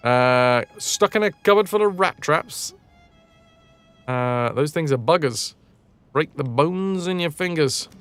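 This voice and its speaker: A man's voice: a little high, animated, slow, fairly bright tone, clean tone. A man doing casual live video game commentary with a sardonic, dry sense of humour. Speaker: live streamer. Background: game music.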